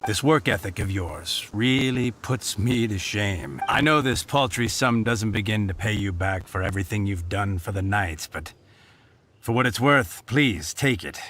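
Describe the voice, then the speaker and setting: A man's voice: on the low side, expressive, measured pace, a bit bright, a little hiss or hum. An actor playing a grateful, humble male knight who speaks politely. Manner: polite, self-deprecating.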